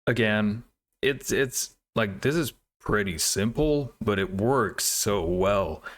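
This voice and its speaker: A man's voice: lowish voice, slow pace. A man hosting a reaction video, commenting casually and thinking aloud in an informal, easily amazed way.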